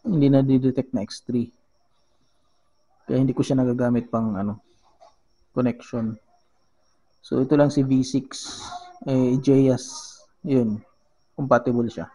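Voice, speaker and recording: Slow-paced, mellow Filipino man. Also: medium pitch, steady tone, poor audio. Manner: halting, searching for words, switches between Tagalog and English. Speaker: vlogger giving a gadget tutorial. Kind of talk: casual how-to demonstration.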